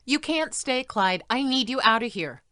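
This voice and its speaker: A woman's voice: a little high, animated, normal speed, bright, forceful tone, no room sound, clean studio sound. A woman playing a weary, protective mother in an audio drama, speaking in clipped, insistent lines. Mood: firm, tense. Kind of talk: naturalistic audio drama acting.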